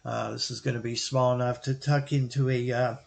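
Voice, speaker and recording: A man giving an informal, explanatory product recommendation as a hobbyist reviewer, thinking aloud in halting, broken phrases. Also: medium pitch, level tone, gravelly. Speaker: YouTube radio hobbyist and reviewer.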